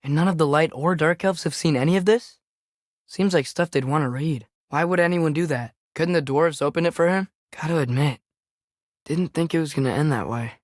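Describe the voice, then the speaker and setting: A man's voice: a little high, brisk, no room sound, clean studio sound, lightly acted. A young male actor voicing an earnest, compassionate teenage boy adventurer in a video game, with an American accent and a questioning, casual way of talking. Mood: sincere, questioning. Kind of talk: naturalistic video game character acting.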